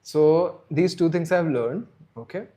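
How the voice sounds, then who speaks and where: A man's voice: fairly high pitch, a little slow, warm tone. A man, chatting casually on a live stream, rambling and answering viewers' chat. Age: 30s. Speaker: livestream host.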